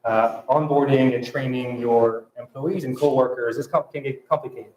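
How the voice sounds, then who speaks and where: A man from France speaking English with a French accent, a guest at a fireside chat who talks in halting phrases with many restarts and fillers. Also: mid-range voice, steady tone, a little mellow, roomy sound, a little hiss or hum, rough recording. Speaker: panel guest.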